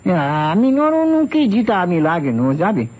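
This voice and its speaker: A man's voice: high voice, big pitch swings, a little mellow, dull audio. A man playing an eager, deferential Japanese police assistant in broken phrasing, a comic sidekick in an old radio drama, on a thin recording. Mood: deferential, amused. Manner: halting, broken phrasing, obsequious.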